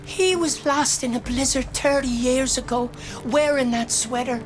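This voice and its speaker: A woman acts out a kindly old mother in a game drama, her voice lifted high. She fusses and exclaims, carrying an old grief for her son, with music playing behind her. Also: steady tone, measured pace. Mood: shaken, grieving. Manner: fussing, exclaiming.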